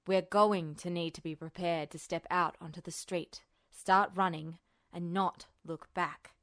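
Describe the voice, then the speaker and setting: A woman voicing an older military man, a smug colonel who talks in a measured, earnest, insistent way, in an audiobook story. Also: on the low side, steady tone, a bit bright, no echo, studio quality, voice pushed down. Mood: grave, pressing. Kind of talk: fan fiction audiobook dialogue.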